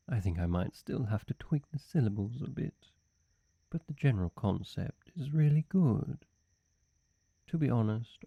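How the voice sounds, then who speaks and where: A man's voice: medium pitch, gravelly, mellow, husky, no echo, full character voice. A man playing a suave, flirty demon servant, obsessively devoted, speaking formally and silkily in a scripted roleplay monologue. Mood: flirty, adoring. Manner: unhurried, silky, fawning, formal.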